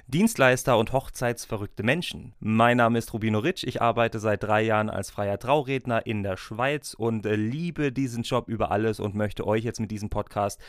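A man's voice: lowish voice, moderate pace, few pauses, no echo, studio quality. A man hosting a podcast, introducing topics and addressing his listeners and guests. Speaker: podcast host, freelance wedding speaker.

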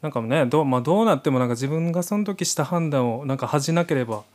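A man's voice: a little high, lively intonation, very fast, darkish tone. A man co-hosting a podcast, easygoing and reassuring in casual conversation. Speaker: podcast co-host. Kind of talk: casual chat.

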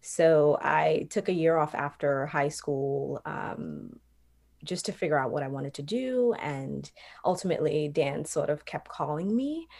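A young adult woman from Trinidad, a professional dancer, answering interview questions in a reflective way with many pauses and fillers. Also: low voice, vocal fry, darkish tone. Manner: reflective, many ums and you-knows.

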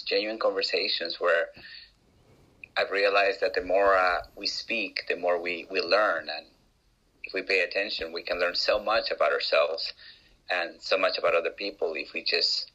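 A man in his forties from Peru with a Peruvian accent, a guest telling his life story in an interview, with frequent pauses and restarts. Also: deep voice, pushed and bright, poor audio. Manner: hesitant, many restarts and uh fillers.

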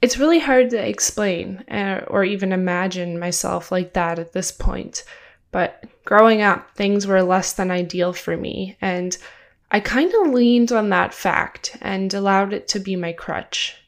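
A woman's voice: medium pitch, unhurried. A young woman with a stuffed-up, congested voice, hosting her own podcast in a chatty, upbeat way and laughing now and then. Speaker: podcast host. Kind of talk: casual solo podcast talk.

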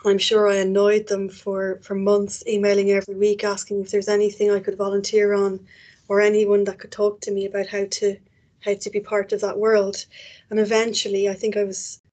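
A woman's voice: medium pitch, completely flat delivery, moderate pace, darkish tone. A woman with a Dublin Irish accent, an archivist answering interview questions in a chatty way, often restarting her words. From Ireland.

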